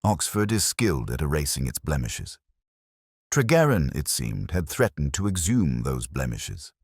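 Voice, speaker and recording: A man reading a scripted first-person detective story in a measured, literary, brooding manner. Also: extremely low pitch, big pitch swings, breathy edge, no room sound, clean studio sound. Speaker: narrator.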